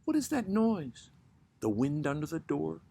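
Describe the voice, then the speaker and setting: Guy doing anxious posh-type lady, high husky voice, nervy and pleading, keeps demanding answers, poetry recital. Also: sing-song, measured pace, warm tone, heavily acted. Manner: urgent, pleading, repetitive questioning. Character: anxious, nervy, demanding neurotic upper-class woman.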